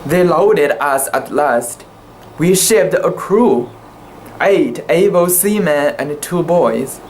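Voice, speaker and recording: Male amateur storyteller, non-native English speaker, slightly high pitch, very unhurried, careful and halting. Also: expressive, darkish tone, slight background noise, rough recording. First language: not English. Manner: halting, careful delivery with occasional stumbles. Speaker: amateur reader. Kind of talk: dramatic reading of a sea story.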